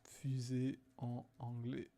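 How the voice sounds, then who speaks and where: A young man casually reacting to videos, hesitant with many fillers, sometimes bursting into loud exclaiming. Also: medium pitch, completely flat delivery, slow, vocal fry. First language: not English.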